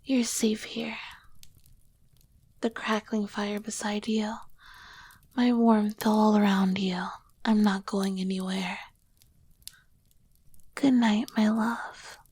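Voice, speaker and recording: A woman playing an ancient, tender, teasing succubus queen in an intimate ASMR roleplay, soft-spoken and often dropping to a whisper. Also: medium pitch, slightly rough, no room sound, full character voice. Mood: soothing, tender. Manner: slow, gentle, affectionate. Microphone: close microphone, dry.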